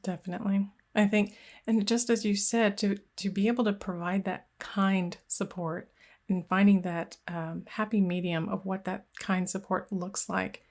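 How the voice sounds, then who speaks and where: A woman's voice: medium pitch, fairly even intonation, moderate pace, a little mellow. A woman hosting a conversational interview, reflecting on personal caregiving experience with occasional restarts. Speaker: podcast host.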